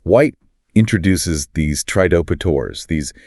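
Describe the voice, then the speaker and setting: Male co-host, very low voice, agreeable; dry recording. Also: animated, unhurried, darkish tone, no room sound. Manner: agreeable, finishes co-host's sentences. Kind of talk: scripted-sounding podcast chat.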